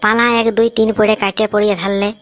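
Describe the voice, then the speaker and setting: A woman's voice: mid-range voice, little pitch movement, fast, soft background music, lightly acted. A woman voicing a brash, grumbling young cartoon bridegroom, blunt and mocking. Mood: exasperated. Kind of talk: cartoon comedy dialogue.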